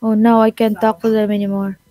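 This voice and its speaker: A woman chatting casually and excitably while playing a mobile shooter. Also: a little high, brisk, no room sound. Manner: excitable, laughing. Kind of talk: casual gameplay chat. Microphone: phone mic. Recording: dry close sound with game music behind.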